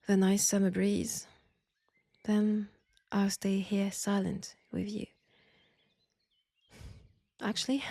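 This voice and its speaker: A woman acting as a caring French army nurse from the First World War in an intimate audio roleplay. Her voice is mellow and soft with some creak, coaxing and breathy yet firm. It is recorded close and dry. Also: medium pitch, dry recording. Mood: tender.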